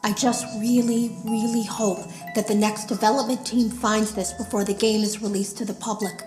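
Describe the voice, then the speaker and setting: A woman's voice: medium pitch, flat delivery, moderate pace, a little mellow, close to an everyday voice. A woman playing an anxious game developer recording secret audio logs for a horror game, earnest, uneasy and confiding. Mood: urgent warning. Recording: roomy echo, music behind.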